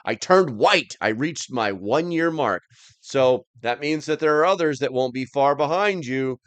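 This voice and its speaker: A man's voice: medium pitch, lively intonation, measured pace, no echo. A man hosting a live stream, chatting casually as he reads and answers comments in a rambling, plain-spoken, slightly grumbly way.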